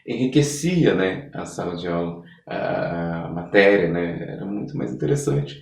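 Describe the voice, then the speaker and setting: Brazilian guy hosting a podcast chat, kinda low voice, agreeable, echoey room. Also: lively intonation, normal speed, creaky. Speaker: podcast interviewer. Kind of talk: conversational interview.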